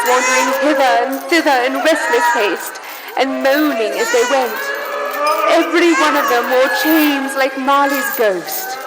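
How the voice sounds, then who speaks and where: A woman narrating a live radio play dramatically, with theatrical, emphatic and deliberate phrasing. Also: medium pitch, gravelly, noisy background. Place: live stage in a church. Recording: long church hall reverb.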